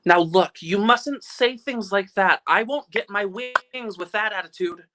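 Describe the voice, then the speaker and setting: A man's voice: high-pitched, a little slow, bright, forceful tone, slight background noise. Radio play angel: male actor as ancient but guileless heavenly helper, earnest and quaint. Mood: concerned. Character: innocent, earnest 293-year-old second-class guardian angel. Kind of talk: old-time radio play live reading.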